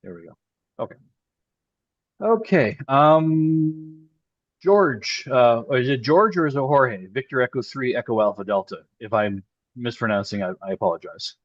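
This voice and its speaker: A man from Southern Ontario moderating an online meeting, calling on speakers in a brisk, friendly way. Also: medium pitch, expressive, dry recording. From Southern Ontario, Canada. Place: home in Panama, video call. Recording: dull, dry video call audio.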